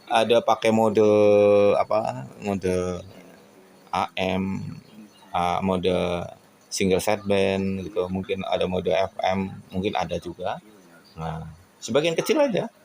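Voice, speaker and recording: A man from Indonesia with a lowish, creaky voice speaks off the cuff to his viewers. He is earnest and reflective, feeling his way through sentences and turning to rhetorical questions. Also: takes it slowly, long pauses, a little mellow, rough recording. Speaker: radio hobbyist vlogger. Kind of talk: casual reflective monologue to viewers.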